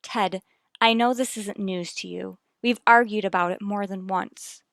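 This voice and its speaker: A woman's voice: mid-range voice, steady tone, measured pace, gravelly, no room sound, clean studio sound. An actress playing an earnest, anxious but determined wife and mother in a 1950s radio soap, formal and firm. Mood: firm, defiant.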